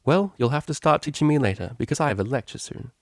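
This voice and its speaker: A man voicing a brash, excitable young unicorn mare. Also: mid-range voice, fast talker, no room sound, studio quality, pitched above the performer's own voice, close to an everyday voice. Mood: bossy, casual. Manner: slangy, exclamatory, teasing. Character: brash young female unicorn DJ, playful, easily flustered.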